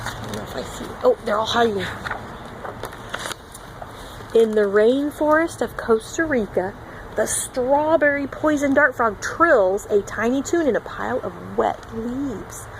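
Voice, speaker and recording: Female teacher for a children's read-along, higher-pitched and expressive, amateur recording with some background noise. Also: unhurried, creaky. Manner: expressive, acting out animal sound words. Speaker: children's teacher reading aloud. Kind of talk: picture-book read-along with asides to young children.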